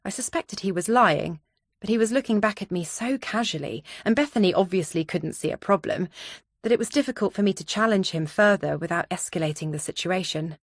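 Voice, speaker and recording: A woman's voice: mid-range voice, fairly quick, no echo, studio quality. A woman reading scripted first-person thriller narration as an audiobook narrator.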